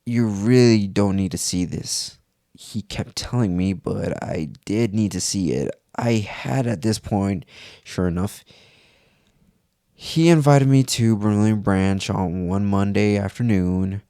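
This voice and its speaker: A man's voice: fairly low pitch, slow, mellow, no echo, studio quality. A man narrating a scripted first-person horror story as if it were his own account.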